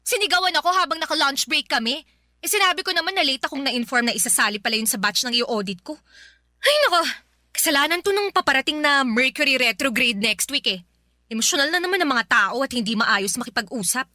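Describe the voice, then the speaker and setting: Intense, chatty young Filipina girlfriend, radio drama, high bright voice, expressive and nagging. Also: a little fast, no echo, clean studio sound. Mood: exasperated. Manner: expressive, nagging, exasperated exclamations. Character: emotional, chatty, astrology-obsessed girlfriend who loves intensely.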